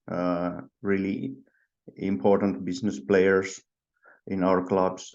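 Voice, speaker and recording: A man's voice: low voice, darkish tone, lo-fi sound. A man from Finland speaking on a video call, hesitant and full of fillers. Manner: hesitant, many fillers and restarts. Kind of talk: personal talk on video call.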